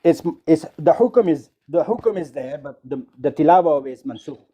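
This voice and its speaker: A man arguing in a heated debate, talking quickly, tripping over and repeating his words, with a mocking edge. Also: fairly high pitch, animated, muffled recording. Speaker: debate opponent.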